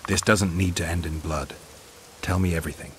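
Actor as gruff, deep-voiced stoic swordsman. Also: fairly even intonation, a little fast, a little hiss or hum, close to an everyday voice. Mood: terse, demanding. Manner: terse, dry, questioning. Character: stoic, gruff monster-hunting swordsman, adult man. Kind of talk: video game acting.

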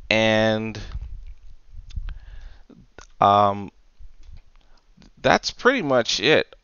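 Smooth low-voiced man explaining software. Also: no echo, lo-fi sound. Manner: explanatory, step by step. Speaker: tutorial presenter. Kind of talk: casual screen-recorded software tutorial.

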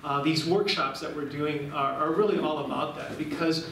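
A middle-aged man from Cuba giving a public lecture, talking warmly with frequent restarts, recorded from far away in a large room. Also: a little high, moderate pace, a little hiss or hum. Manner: warm, rambling with frequent restarts. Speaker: guest lecturer. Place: large room with projection screen, indoors. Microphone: far camera. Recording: very echoey room, recorded from afar.

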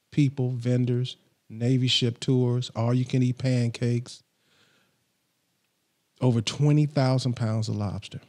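Middle-aged man, podcast host, mellow dark tone, steady scripted read, close studio mic, small home studio. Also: mid-range voice. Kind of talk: scripted countdown list. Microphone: studio mic on boom arm, headphones on.

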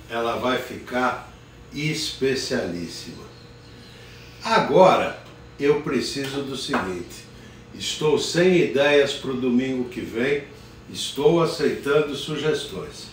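A man's voice: mid-range voice, recorded from a distance, slight background noise. An older man hosting a casual live cooking show in his home kitchen, chatting unhurriedly and greeting viewers by name. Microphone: phone camera at a distance. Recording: roomy kitchen echo from afar.

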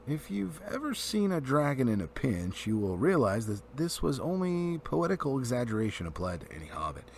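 A man reading a fantasy storybook aloud as an amateur narrator, in an animated storytelling style. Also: mid-range voice, vocal fry, a little hiss or hum. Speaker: amateur book reader.

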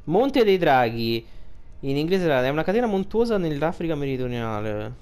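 A man giving casual gameplay commentary as a streamer, colloquial and thinking out loud, with some swearing. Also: fairly high pitch, sing-song, moderate pace, clean tone. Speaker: video game streamer. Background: game music.

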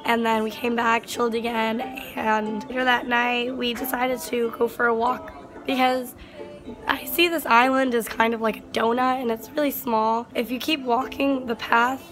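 Raspy-voiced young woman vlogging. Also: a little high, flat delivery, normal speed. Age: young adult. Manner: rambling, filler-heavy with lots of "like". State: raspy, strained voice. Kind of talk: casual travel vlog chat. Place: hotel room and outdoor terrace on an island. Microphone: phone camera at arm's length. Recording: ukulele music underneath.

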